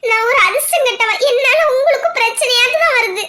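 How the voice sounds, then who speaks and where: A woman acting a very high, tearful young wife. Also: fast talker, heavy background noise. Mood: bitter, teary. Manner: sobbing, self-blaming lament. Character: tearful young wife, sure she is unlucky. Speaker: young wife in a family skit. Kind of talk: amateur domestic drama acting.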